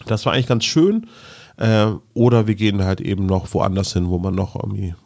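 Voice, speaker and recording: Male podcast host, middle-aged, lower-pitched, conversational delivery, recorded dry. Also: brisk, gravelly, a little mellow, dry recording. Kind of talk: casual podcast chat.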